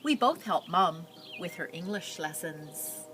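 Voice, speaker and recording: A woman reading a picture book aloud, telling the story and pausing with simple explanatory asides for young listeners. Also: on the low side, animated, background noise.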